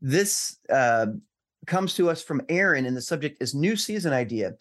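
A man's voice: a little high, lively intonation, normal speed, a bit bright. Easygoing male podcast co-host. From Central Illinois. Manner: laughs often, easygoing, many fillers. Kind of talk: casual podcast chat.

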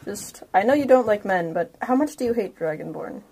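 A woman's voice: medium pitch, lively intonation, fairly quick, a little mellow, slight background noise, rough recording. A woman talking casually as a player in a tabletop game, informal and assertive.

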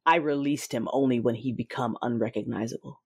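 An actor playing a cruel, vengeful Sith lord, narrating an audio drama in a deliberate, commanding, menacing way with a husky voice. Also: mid-range voice, fairly even intonation, darkish tone. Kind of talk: first-person audio drama narration with dialogue. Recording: dramatic music behind the voice. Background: music.

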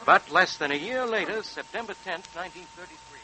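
Police superintendent narrator, bright forceful voice, formal, sober, vintage radio, faint hum. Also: male voice, sits high, lively intonation, fairly quick, exaggerated voice, poor audio. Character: police superintendent soberly recounting a criminal case.

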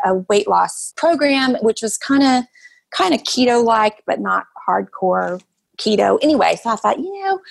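A woman in her fifties, a podcast guest, explaining her habits in relaxed casual chat with many fillers. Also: medium pitch, gravelly, slightly rough, rough recording. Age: 50s.

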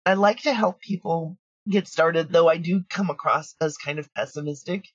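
Husky woman in frank interview chat. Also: on the low side, normal speed. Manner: frank, practical, emphatic. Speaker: guest, audio drama creator. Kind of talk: casual interview talk. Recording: roomy, dull, lo-fi audio.

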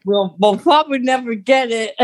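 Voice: medium pitch, normal speed. A podcast host chatting casually as an enthusiastic fan, often breaking off and restarting sentences.

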